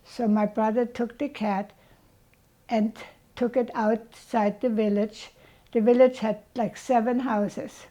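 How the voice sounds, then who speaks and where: Slow-talking elderly woman. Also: a little high, flat delivery, warm tone, poor audio. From Germany. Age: 80s. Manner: slow, reflective storytelling. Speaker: interviewee. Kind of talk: reminiscing about childhood.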